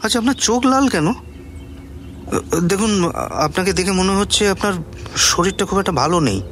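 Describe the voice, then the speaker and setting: A man's voice: high voice, lively intonation, normal speed, fairly bright tone, a little hiss or hum. An actor playing a concerned, polite young man with an inquisitive manner in a radio drama.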